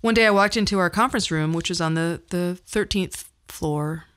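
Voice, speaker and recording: A woman's voice: lowish voice, moderate pace, fairly bright tone, clean tone. A woman in her fifties hosting a podcast, telling personal stories in a conversational, wry, self-deprecating way. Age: 50s. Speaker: podcast host. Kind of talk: personal storytelling monologue.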